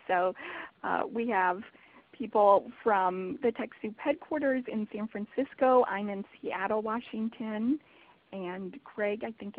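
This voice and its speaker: A woman hosts a webinar in an upbeat, enthusiastic way. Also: mid-range voice. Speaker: webinar host. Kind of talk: hosting a webinar. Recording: tinny phone line.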